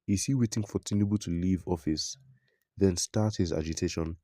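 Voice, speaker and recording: A man narrating a news report in a scripted, even read, quoting an interview and reading out public comments. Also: low-pitched, normal speed, no echo.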